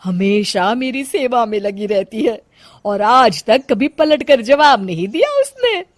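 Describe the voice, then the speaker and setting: A woman's voice: fairly high pitch, sing-song, measured pace, dry recording, clean studio sound. A woman voicing a middle-aged city mother-in-law in a cartoon story, fond but complaining, with melodramatic exclamations. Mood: proud. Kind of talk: Hindi cartoon moral-story acting.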